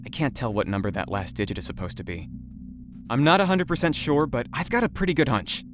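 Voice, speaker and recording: A man's voice: mid-range voice, animated, fast, noisy background, natural voice. A man voicing an earnest, eager younger man in a visual novel. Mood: eager, helpful.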